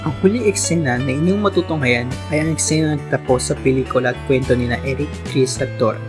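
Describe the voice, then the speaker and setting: A man's voice: mid-range voice, fast talker. A man narrating a radio drama in a formal, earnest storytelling tone. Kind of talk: scripted narration. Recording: music playing behind.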